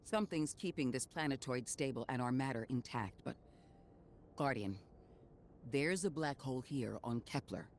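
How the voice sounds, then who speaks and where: An actor voicing a calm, analytical male robot companion in a video game, speaking in a measured, clipped and precise way, concerned and protective of the player. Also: mid-range voice, a little hiss or hum, lightly acted. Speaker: companion guide character.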